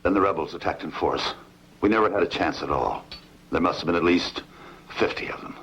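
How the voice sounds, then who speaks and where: A man's voice: low-pitched, fairly quick, a bit bright. A man playing a humble, frightened slave who pleads deferentially in a dubbed sword-and-sandal film. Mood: defeated. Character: humble frightened male slave reporting an ambush. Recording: muffled lo-fi film audio, clear noise.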